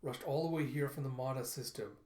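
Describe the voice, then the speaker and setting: A man's voice: mid-range voice, fairly quick, darkish tone, echoey room, lightly acted. A man playing a protective, worried man in dramatic storytelling dialogue, pleading with an old companion. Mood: tender, worried.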